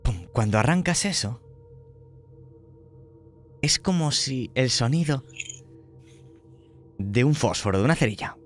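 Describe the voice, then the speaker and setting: A man as game master, narrating a tabletop horror game in an unhurried, descriptive way. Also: mid-range voice, lively intonation, faint music behind.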